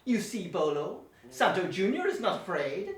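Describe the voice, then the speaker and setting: A woman's voice: fairly low pitch, sing-song, normal speed, distant mic. Woman playing sly villain Professor Muerte. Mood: suave. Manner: suave, theatrical, mock-polite with sudden menace. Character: sly male villain professor who runs a wax museum. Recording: roomy echo, voice set back from the mic.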